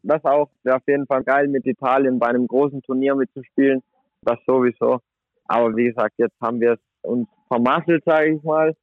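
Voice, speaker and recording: A man's voice: medium pitch, brisk, no echo. A man in his twenties, a handball player, talking casually in an interview with many fillers. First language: German. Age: 20s. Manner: relaxed, chatty, many fillers like "sag ich mal". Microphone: phone. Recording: tinny phone line.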